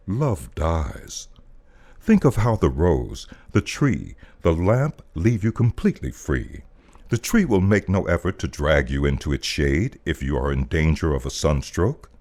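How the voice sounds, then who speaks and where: A man with a very low voice reads a spiritual text like poetry. His delivery is solemn and deliberate, and his pitch moves expressively across a wide range as he stresses key words. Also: darkish tone. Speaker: voice-over artist, narrator. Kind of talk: dramatic poetic reading of a spiritual text.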